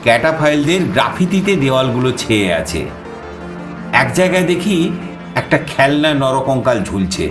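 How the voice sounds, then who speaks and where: An actor playing an adventurous, eager explorer who narrates his own suspense audio story in an expressive manner. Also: medium pitch, normal speed, close to an everyday voice. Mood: suspenseful, excited. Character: male first-person narrator, adventurous, eager explorer visiting Paris. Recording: echoing voice over background music.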